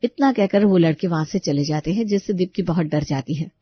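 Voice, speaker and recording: A woman's voice: fairly low pitch, expressive, a little fast, husky, no echo, lo-fi sound. A woman reading a scripted story as its narrator.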